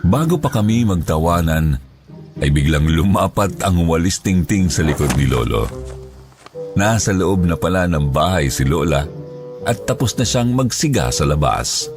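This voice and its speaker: A man with a Filipino accent narrating a scripted first-person horror story in a dramatic, deliberate way. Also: low-pitched, very animated. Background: background music.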